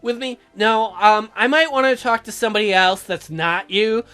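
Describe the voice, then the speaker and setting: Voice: medium pitch, normal speed, sharp tone, clean tone, faint music behind. A let's player reading a visual novel aloud, joking crudely and irreverently between the voiced lines. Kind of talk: comedic game playthrough, voicing characters.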